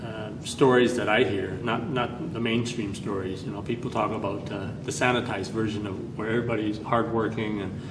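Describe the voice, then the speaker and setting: Canadian man, lowish voice, hesitant interviewee, distant mic in an echoey room. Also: steady tone, moderate pace, few pauses, noisy background. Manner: hesitant, many fillers and restarts. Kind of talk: personal history interview.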